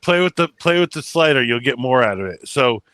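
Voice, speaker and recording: A man's voice: mid-range voice, lively intonation, brisk, a bit bright, no room sound. A man hosting a casual chat with friends, rambling through stories with lots of fillers and swearing, in a soothing voice. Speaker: channel host.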